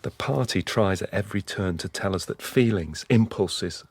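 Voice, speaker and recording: An actor playing a weary, haunted middle-aged dissident in an intimate, brooding radio drama style. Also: male voice, deep voice, a little hiss or hum. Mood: bitter, despairing. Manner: slow, halting, brooding. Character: weary, haunted male dissident confiding memories and fears.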